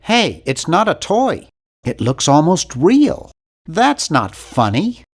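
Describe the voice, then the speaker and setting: A young, timid nerd from a cartoon adventure game. His pitch sits high for a man and swings widely from phrase to phrase. He sounds prim and bookish, with a wry, fussy edge. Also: huge pitch swings, takes it slowly, husky, exaggerated voice. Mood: squeamish, dry. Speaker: game character voice actor.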